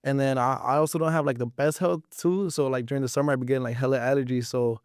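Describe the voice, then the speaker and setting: A man's voice: medium pitch, steady tone, fast, a little mellow, smooth, dry recording, clean studio sound. A man of about twenty, chatting casually as an interview guest in slangy English mixed with Spanish. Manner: slangy, informal, frequent swearing.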